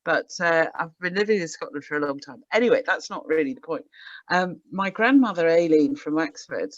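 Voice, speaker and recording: A woman's voice: deep voice, expressive, a little fast, no room sound. An English woman with a Surrey accent, asking a question over a video call in a bubbly, somewhat sheepish way. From England. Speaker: audience member asking a question. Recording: dry, dull video call audio.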